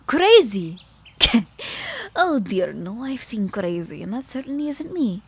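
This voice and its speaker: A woman's voice: on the high side, very animated, a little slow, husky, full character voice. A woman playing a clever, teasing immortal witch in an audio roleplay, with a playful, mock-courteous manner.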